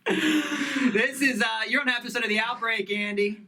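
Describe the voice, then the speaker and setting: High, very bright-voiced male host. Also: normal speed, low-quality recording. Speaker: co-host of youth ministry comedy show. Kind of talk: casual banter.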